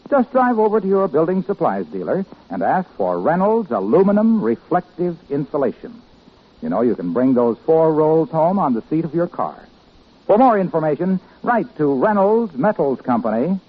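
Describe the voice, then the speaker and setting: A male announcer delivers sponsor copy in classic 1950s radio style. His husky, slightly high voice is polished and salesmanlike, with very animated intonation. The broadcast recording is muffled. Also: moderate pace.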